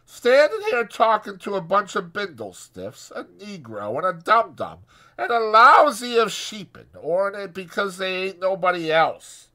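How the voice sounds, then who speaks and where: Man putting on a brassy high-pitched scornful young woman. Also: pushed and bright, full character voice. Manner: contemptuous, brassy, slangy rural American.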